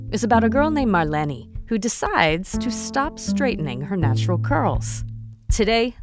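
A woman hosting a radio show, reading her intro and asking interview questions with polished, measured delivery. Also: on the low side.

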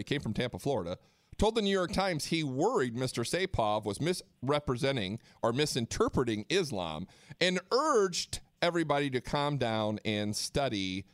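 A man's voice: medium pitch, fairly bright tone, dry recording, studio quality. A man co-hosting a talk radio show, talking conversationally and reading news articles aloud. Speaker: radio co-host. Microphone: studio mic.

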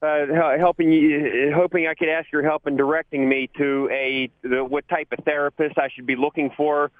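A man's voice: fairly high pitch, flat delivery, moderate pace, dry recording. A man calling in to a radio advice show, hesitant and searching for words. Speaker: caller. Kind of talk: phone call to a radio show. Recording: tinny phone line.

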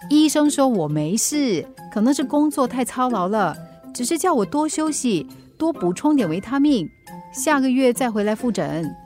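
A woman's voice: fairly high pitch, sing-song, moderate pace, darkish tone, faint music behind. An actress with a Singaporean accent playing a middle-aged, teasing and mildly exasperated mother in a radio drama, with chiding, playful banter. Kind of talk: Mandarin radio drama acting.

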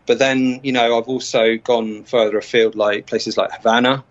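A man being interviewed as an artist, chatting casually with a halting delivery. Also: mid-range voice, fairly even intonation. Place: remote call from the UK. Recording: dull, muffled remote call.

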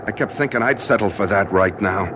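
A man's voice: on the low side, fairly quick, natural voice. An actor as a desperate, world-weary man on the run. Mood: brooding. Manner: brooding, world-weary, pleading. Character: desperate hard-luck drifter on the run, narrating. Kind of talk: 1940s radio noir acting, first-person narration. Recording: noisy 1940s radio recording.

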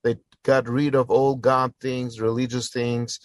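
A man's voice: medium pitch, flat delivery, unhurried, dry recording. A man in his forties from Albania, speaking English, earnestly telling his story as an interview guest with fillers and restarts. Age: 40s. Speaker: guest, church planter. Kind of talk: interview answers.

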